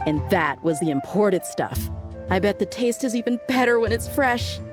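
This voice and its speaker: A woman's voice: mid-range voice, lively intonation, normal speed, over music, lightly acted. A woman voicing a brash, easygoing mercenary with a casual, slangy swagger in a video game. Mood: relaxed relish. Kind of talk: video game cutscene acting.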